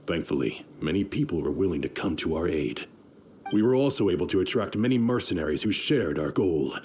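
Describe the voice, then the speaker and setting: A man's voice: low voice, a little mellow, slight background noise. A man playing a bitter, loyal desert brigand in a video game, grim and earnest. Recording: music behind the voice.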